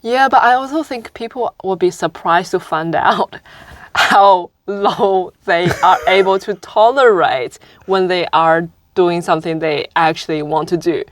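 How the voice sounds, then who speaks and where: A woman's voice: fairly low pitch, measured pace, no room sound. A woman guest in a casual talk who laughs through her words and corrects herself as she goes. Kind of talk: casual conversation.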